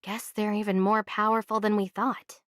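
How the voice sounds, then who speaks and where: A woman voicing a practical, inquisitive young female adventurer in an anime game, speaking in a casual, measured way. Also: medium pitch, level tone, no echo, studio quality, lightly acted. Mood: curious, focused. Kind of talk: anime video game voice acting.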